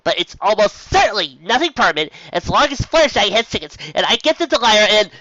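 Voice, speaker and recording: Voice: mid-range voice, big pitch swings, quick pace, sharp tone, no echo, lo-fi sound, voice pushed up. A reader voicing a flustered, boastful female unicorn pony in a storybook reading, stammering and blurting urgently. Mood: frantic, hurried.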